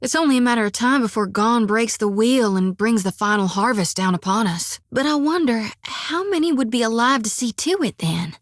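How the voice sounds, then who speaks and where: An actress voicing a devout, fiery young priestess in a video game, with a folksy drawl and country sayings, recorded on a dry studio mic. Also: female voice, a little high, dry recording, lightly acted. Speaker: game companion character.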